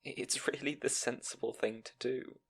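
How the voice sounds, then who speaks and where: A man playing a timid, self-doubting young scribe in an intimate fantasy audio roleplay, speaking in a nervous, halting, soft way. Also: mid-range voice, fairly even intonation, creaky, breathy edge. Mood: distressed, self-doubting.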